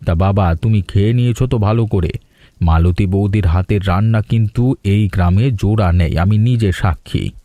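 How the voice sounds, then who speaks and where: Man playing a low-voiced boastful village busybody. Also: moderate pace, dark tone, no echo, natural voice. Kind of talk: cartoon dialogue dub.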